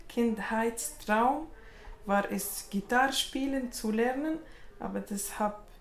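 A woman from Tunisia, a native Arabic speaker, speaking halting learner's German with many hesitations. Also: a little high, echoey room, slight background noise. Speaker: course participant.

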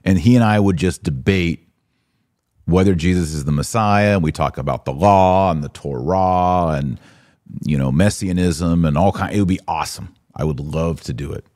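A man's voice: deep voice, normal speed, a little mellow, no echo, studio quality. An American man hosting a live podcast, chatting casually and informally as he responds to listener comments. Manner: informal slangy talk. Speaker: podcast host.

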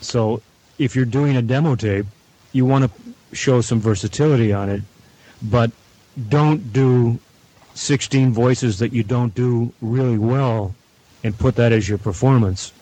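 A middle-aged man giving measured advice as a guest at a roundtable. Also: mid-range voice, mellow, rough recording. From Canada. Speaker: audio producer, guest panelist.

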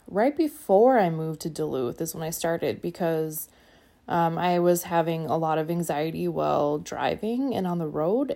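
A woman speaking calmly and evenly into her phone, reflective and trailing off at times. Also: deep voice, gravelly. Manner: calm, even-keeled, trails off. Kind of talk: reflective personal monologue.

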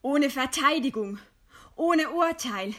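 A woman's voice: high-pitched, lively intonation, slow, a bit bright, full character voice. An actress playing a proud, scornful woman intellectual defying her executioner in eloquent, theatrical radio play declamation. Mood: scornful, indignant.

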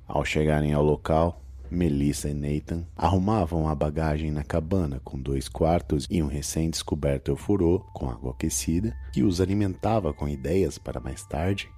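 A man with a Brazilian accent and a husky voice, narrating a scripted horror audio drama. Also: a bass voice, moderate pace, few pauses, warm tone, no echo.

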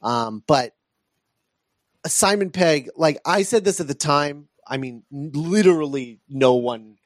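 Male podcast host, slightly higher register, earnest and emphatic in casual film chat, dry recording. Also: animated, a little slow, no room sound.

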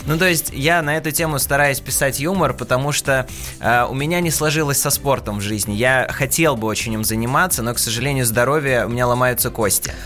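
A man's voice: mid-range voice, a bit bright, faint music behind. A young man, a stand-up comedian, talking casually in a radio studio interview and telling self-deprecating stories. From Russia. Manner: quick, self-deprecating storytelling.

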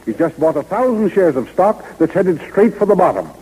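A man's voice: sits high, sing-song, moderate pace, a little mellow, breathy edge, exaggerated voice, low-quality recording. A man playing a worried, fussy, deferential business manager with anxious earnestness in a 1930s radio comedy. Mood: alarmed, fretful. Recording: old 1930s radio recording.

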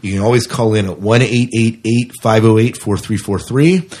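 Low-voiced, husky man co-hosting a podcast. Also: fairly even intonation, moderate pace, a little mellow. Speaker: podcast co-host. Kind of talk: casual podcast chat.

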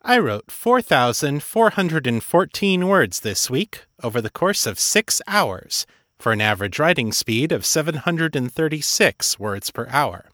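A man's voice: fairly high pitch, very animated, measured pace, a bit bright, no room sound, studio quality. A man speaking as a podcast host, interviewing and addressing listeners.

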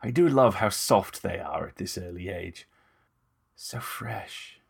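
A man's voice: deep voice, slow pace, creaky, slightly rough, exaggerated voice. A man voicing a corpulent, genteel vampire in an audio story, unctuous, formal and menacing. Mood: smug, menacing. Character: corpulent, genteel, predatory male vampire with ragged breath.

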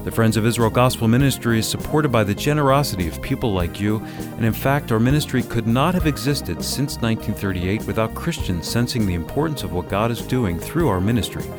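A man's voice: lowish voice, lively intonation, a little fast. A man reading scripted closing announcements as the announcer of a radio program. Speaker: radio announcer and executive producer. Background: music.

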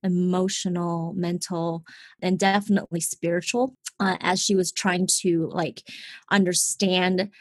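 A woman in her forties from Seattle, openly telling her personal faith story as a podcast guest, earnest and quick to laugh. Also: fairly low pitch, level tone, dry recording. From Seattle, Washington, USA. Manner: open and earnest, laughs easily, addresses listeners as guys. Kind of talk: candid personal testimony.

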